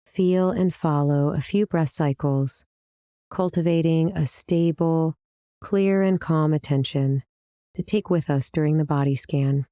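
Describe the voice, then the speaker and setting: A woman leading a guided meditation as the teacher, speaking in a calm, measured, gently instructing manner. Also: deep voice, clean tone, no echo, studio quality. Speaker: meditation teacher, series host.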